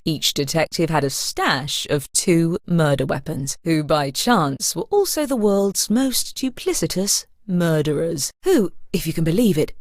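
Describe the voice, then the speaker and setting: An actress playing a sly, elegant narrator in a mystery video game, with an arch, sinister storybook delivery. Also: female voice, low-pitched, a little mellow, no echo, studio quality, exaggerated voice. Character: sly, elegant woman narrator who relishes murder.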